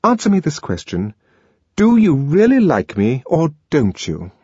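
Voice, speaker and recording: An impatient young woman in a storybook reading, exasperated with her lover, as a man puts her on. She bursts out sharply and indignantly in a raised, swooping voice. The sound is dull and dry, with a slightly husky edge. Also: on the high side, huge pitch swings, unhurried, a little mellow, no room sound, pitched above the performer's own voice, heavily acted.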